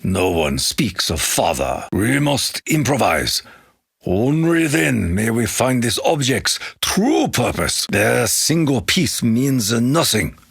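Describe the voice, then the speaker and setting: An actor playing a solemn, honor-bound Japanese warrior in a video game, with a Japanese accent and a grave, formal, measured delivery in a gravelly, husky voice. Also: male voice, mid-range voice, big pitch swings, fairly bright tone, dry recording, studio quality, heavily acted. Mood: stoic, determined. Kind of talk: scripted video game character quote lines.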